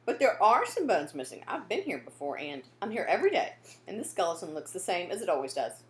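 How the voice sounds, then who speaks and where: A woman's voice: on the low side, very animated, quick pace, reverberant. A woman voicing a bold, eager young girl detective in a children's storybook, speaking emphatically. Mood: insistent. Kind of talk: children's storybook character dialogue.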